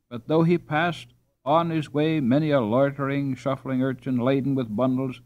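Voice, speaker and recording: A man narrating a children's storybook in a measured, expressive reading style. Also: medium pitch. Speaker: audiobook narrator.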